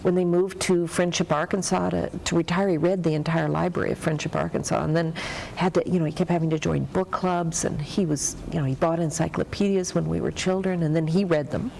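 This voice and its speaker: A woman with a low, gravelly, mellow voice recalls her childhood in a halting, self-deprecating way. Laughter slips into her talk, and a faint hiss sits under it. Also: steady tone, rough recording. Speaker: author guest. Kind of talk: casual reminiscing.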